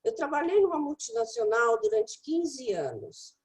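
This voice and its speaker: Middle-aged Brazilian woman guest, high lively voice, emphatic storyteller. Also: normal speed. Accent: Brazilian Portuguese. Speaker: guest English teacher. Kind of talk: casual conversation.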